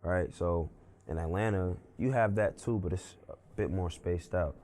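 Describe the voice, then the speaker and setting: A man's voice: low voice, moderate pace, darkish tone. A young man from Brooklyn, New York, chatting casually as an interview guest, laid-back and full of fillers like "you know what I'm saying". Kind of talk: casual conversation.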